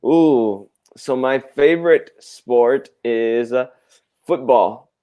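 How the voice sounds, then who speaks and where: Co-host, man; clear, resonant delivery, unhurried. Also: medium pitch, warm tone. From Puerto Rico. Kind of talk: casual live-stream chat.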